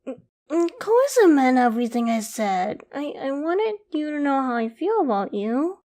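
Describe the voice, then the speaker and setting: A woman's voice: high voice, very animated, normal speed. A woman playing a shy, loving, easily flustered young girlfriend in an intimate ASMR bedtime roleplay, speaking tenderly and hesitantly. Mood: shy pouting. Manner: tender, hesitant, soft-spoken with stammers.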